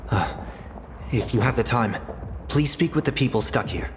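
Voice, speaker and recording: A man playing a courteous, solemn gentleman who speaks gently and formally in a video game. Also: mid-range voice, lively intonation, a little hiss or hum, close to an everyday voice. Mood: solemn, encouraging. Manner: formal, measured phrasing. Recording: long reverb with music behind.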